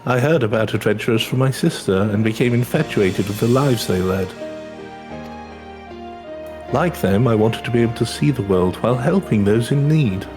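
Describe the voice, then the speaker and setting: A man's voice: mid-range voice, lively intonation, moderate pace, close to an everyday voice. A man playing a polite, earnest young adventurer from a video game, formal and humbly reflective. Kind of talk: video game dialogue read aloud in character. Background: game music.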